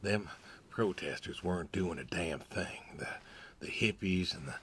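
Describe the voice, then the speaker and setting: A man's voice: deep voice, measured pace, noisy background. A man playing a stoic, weary older pig farmer and war veteran in a game, laconic and folksy. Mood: reflective, subdued. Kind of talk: naturalistic video game dialogue.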